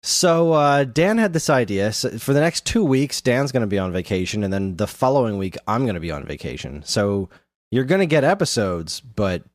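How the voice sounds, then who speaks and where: An enthusiastic man chats casually as a podcast co-host. His voice moves widely in pitch and sounds dry and close. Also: normal speed, no echo. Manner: enthusiastic, animated. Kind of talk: casual chat about video games.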